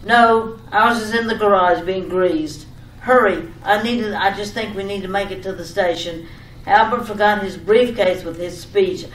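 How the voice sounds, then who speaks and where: A woman's voice: medium pitch, normal speed, lightly acted. A woman playing a fussy, scatterbrained wife in an old-time radio comedy sketch, giving repetitive, over-detailed instructions. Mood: panicked. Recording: echoey room, distant mic, faint noise.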